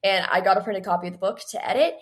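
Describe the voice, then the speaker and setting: A woman's voice: mid-range voice, animated, rapid-fire. An eighteen-year-old woman speaking as a guest in a casual interview, rambling with frequent "like" and bits of quoted self-talk. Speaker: guest author. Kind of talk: casual interview chat about writing.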